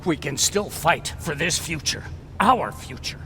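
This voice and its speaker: A man's voice: a little high, animated, unhurried, pushed and bright. A man acting a video game role as an earnest resistance scientist, fervent yet resigned. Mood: determined. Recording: clearly noisy game soundscape.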